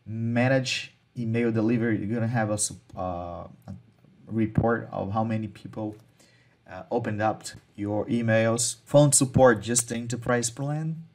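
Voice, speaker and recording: Man walking through software features. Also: fairly low pitch, steady tone, a little slow. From Brazil. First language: Portuguese. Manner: casual, repeats words and restarts phrases, non-native phrasing. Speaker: marketing tutorial host. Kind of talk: screen-share software walkthrough.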